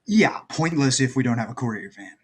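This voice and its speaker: A man playing a brisk, confident heist planner in a screenplay table read, matter-of-fact and decisive. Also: mid-range voice, expressive, slightly rough. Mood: businesslike.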